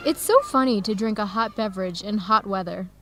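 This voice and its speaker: An actress with an American accent playing an enthusiastic, curious visiting woman scholar in an educational radio drama, with clear, simple phrasing. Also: mid-range voice, lively intonation, fairly quick, lightly acted. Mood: playful, content.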